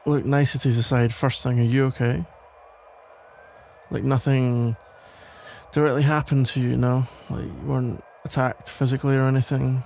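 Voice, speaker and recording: A man playing a protective, worried police officer and ex-boyfriend in an ASMR audio roleplay, talking in a halting, casual, gently exasperated way with a gravelly voice. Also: mid-range voice, slight background noise. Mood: concerned, relieved. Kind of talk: ASMR roleplay, conversational one-sided acting.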